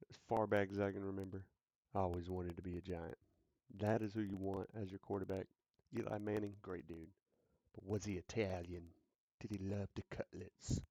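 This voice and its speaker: A man's voice: low-pitched, a little mellow, dry recording. A man hosting a casual sports talk show, joking and hyped, repeating his lines for effect. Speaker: sports podcast host.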